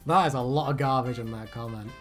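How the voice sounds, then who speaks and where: A woman co-hosting a casual chat about fan theories, animated, giggly and swearing freely. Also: very deep voice, fast, soft background music. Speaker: video co-host.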